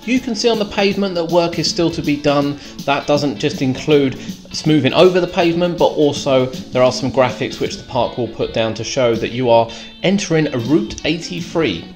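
A British man giving a measured, descriptive voice-over tour as a theme park vlogger. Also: a little high. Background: clear background music.

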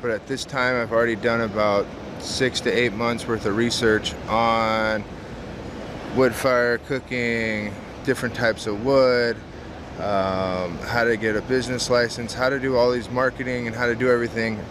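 A man's voice: mid-range voice, moderate pace. A man in his thirties, a pizza business owner, answering interview questions casually with many "um" fillers, recorded close up at a trade show. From San Diego, California. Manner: relaxed, frequent "um" fillers, earnest. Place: large indoor trade show hall. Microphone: camera close to face. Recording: noisy expo hall background.

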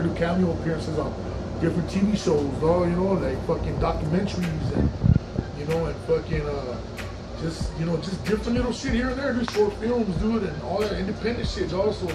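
A man's voice: fairly high pitch, distant mic, very noisy. A middle-aged man in a casual interview, talking in loose, profane street slang peppered with "dude" and "dog", recorded in a small studio a few feet from the camera. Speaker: rapper and actor being interviewed. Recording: roomy, off-mic, music behind.

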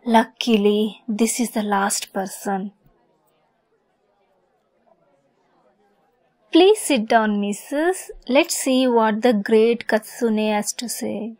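A woman's voice: on the high side, slow, few pauses, a little mellow. A woman playing a lovesick, possessive young kitsune fox girl in an ASMR roleplay, sweet, coy and giggly in manner. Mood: polite.

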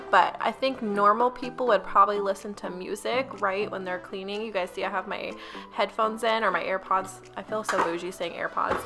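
A young woman talking casually over her cleaning footage, chatty and upbeat, speaking straight to her viewers. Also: mid-range voice. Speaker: lifestyle vlogger.

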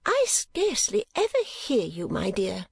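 A fussy, vain gentlewoman of middle age from a storybook. She rambles on with affected self-importance, her voice lifted high and swinging widely in pitch. The sound is close and dry. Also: wildly swooping pitch, normal speed, husky, dry recording, heavily acted. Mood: reproachful. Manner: garrulous, affected, digressive.

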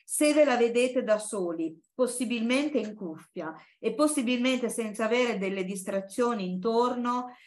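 A woman's voice: on the high side, measured pace, hardly any pauses, a little mellow. A woman in her sixties introduces a guest speaker at an informal lecture in a classroom, digressing and thinking aloud as she goes. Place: university classroom.

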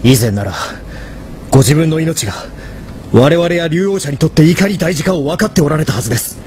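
Man acting an impassioned, higher-voiced young mafia lieutenant. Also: lively intonation, fairly quick, a little mellow, a little hiss or hum, lightly acted. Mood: impassioned reproach. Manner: formal, pleading, impassioned. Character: earnest, agitated young male mafia lieutenant, devoted and reproachful. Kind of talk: Japanese drama CD acting.

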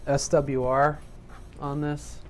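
A man's voice: fairly high pitch, completely flat delivery, slow. Plain-spoken man giving a casual tutorial. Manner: plain-spoken, colloquial, checks in with "right?". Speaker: hobby radio instructor. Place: indoors at a home workbench.

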